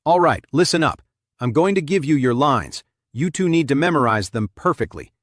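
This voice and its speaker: Man voicing a cold, commanding avenger, lively intonation. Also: fairly high pitch, moderate pace, no room sound, pitched above the performer's own voice. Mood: mocking command. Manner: stern, taunting, commanding.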